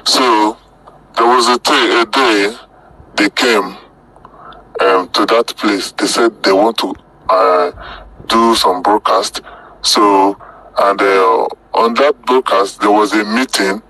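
A Nigerian-accented man tells his own story in a casual way. His voice sits slightly low but has a bright, forceful edge. He moves in short, halting phrases and often repeats himself. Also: steady tone, breathy edge, poor audio. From Delta State, Nigeria. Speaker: storyteller recounting personal experience. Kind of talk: casual spoken testimony.

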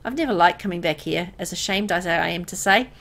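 A woman playing an anxious, uneasy young woman in a horror visual novel, hesitant and expressive. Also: lowish voice, fairly quick. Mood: subdued, uneasy. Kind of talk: visual novel dialogue and first-person narration.

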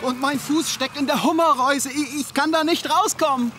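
A man's voice: very high voice, moderate pace. A man voicing a hapless, flustered fisherman father in a children's cartoon. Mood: embarrassed. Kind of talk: German-dubbed children's cartoon acting. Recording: loud music behind the voice.

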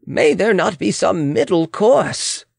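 A man's voice: sits high, animated, takes it slowly, vocal fry, a little mellow, slightly rough, dry recording, voice pushed up, exaggerated voice. A man voicing a formal, deferential but insistent lawyer and court official in a dramatic audiobook. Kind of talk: dramatic audiobook character reading.

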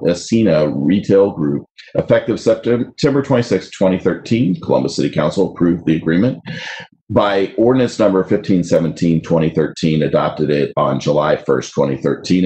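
A man's voice: deep voice, dark tone. A man in his thirties chairing a city council committee over a video call, formally reading legislation aloud. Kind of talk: formal council meeting proceedings. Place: home room on a video call.

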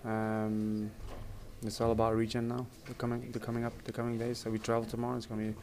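A man in his thirties, a soccer team captain, answering reporters after a match in a halting, even-toned way that repeats words, with mics held close. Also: medium pitch. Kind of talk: post-match press interview. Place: indoor press area in front of a sponsor backdrop. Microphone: reporters' handheld mics and phones held close. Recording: noisy crowded press area. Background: crowd chatter.

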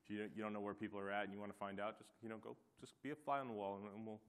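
A male presenter chats his way through conference tips in an upbeat manner. He talks fast, and his voice sits a little low. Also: flat delivery, clean tone. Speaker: presenter, host of the session. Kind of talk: conference panel talk.

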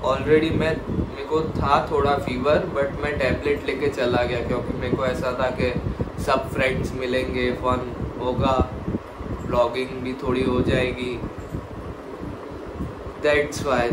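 A man's voice: medium pitch, measured pace. Young man vlogging from a hospital room. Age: young adult. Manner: relaxed, plain storytelling. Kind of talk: casual vlog talk to camera. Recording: echoey room with loud background noise.

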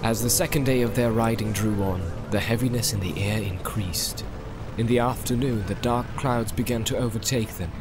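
A man's voice: lowish voice, normal speed. A man narrating a fantasy audiobook in a dramatic, scripted storytelling style. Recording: loud music behind. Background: loud music.

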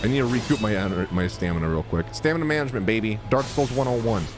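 A young man doing live gameplay reaction commentary as a streamer, excitable and full of slangy exclamations. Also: fairly low pitch, fast. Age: young adult. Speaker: game streamer. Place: indoor streaming room. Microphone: close desk microphone. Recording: loud game battle music behind. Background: game battle music.

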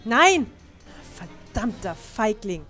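A woman's voice: medium pitch, very animated, takes it slowly, bright, forceful tone, soft background music, heavily acted. A woman playing a fierce young female dwarf adventurer in a fantasy fan audio drama, commanding and defiant. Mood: fierce defiance.

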